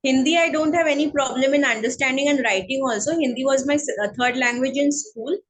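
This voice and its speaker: A woman with a Bengali accent speaking English as a guest in an interview, slow and hesitant with many fillers. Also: high-pitched, slight background noise. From India. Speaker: guest, counselling psychologist. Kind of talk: online interview, casual chat.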